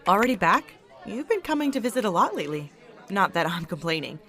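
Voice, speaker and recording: A woman acts out a friendly hospital volunteer coordinator in an audio drama. She is chatty and casual, with a very animated, swinging intonation at a medium pitch. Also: brisk, a faint crowd. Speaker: supporting actor in an audio drama.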